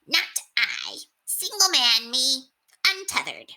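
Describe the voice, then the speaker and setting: A woman's voice: sits high, huge pitch swings, slow pace, bright, forceful tone, voice pushed up, exaggerated voice, poor audio. A woman voicing a brash, wisecracking male rat in a storybook, with folksy, streetwise phrasing. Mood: boastful.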